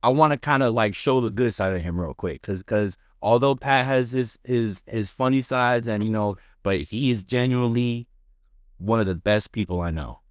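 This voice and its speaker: A man in his twenties chatting casually as a podcast guest, slangy and animated. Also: medium pitch, moderate pace, no room sound, studio quality. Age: 20s. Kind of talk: casual chat.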